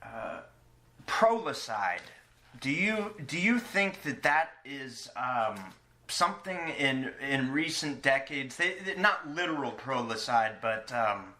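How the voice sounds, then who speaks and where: Man, podcast host, very slow pace, bright tense tone, halting casual questions, searching for words. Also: mid-range voice, expressive. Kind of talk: casual interview chat.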